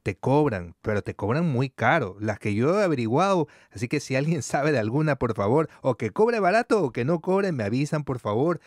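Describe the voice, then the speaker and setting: A man's voice: medium pitch, animated, moderate pace, few pauses, no room sound, studio quality. Chatty man from Ecuador hosting. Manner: chatty, frequent affirming back-channels. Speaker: podcast host. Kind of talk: casual podcast interview.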